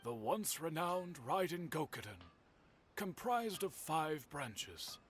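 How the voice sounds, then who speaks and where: Veteran game blacksmith: slightly high male voice, slow formal delivery, grave storyteller, faint noise behind. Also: very animated, a bit bright, full character voice. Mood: reflective. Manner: measured, formal, solemn. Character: older male master swordsmith, courteous, grave storyteller. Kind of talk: video game character acting.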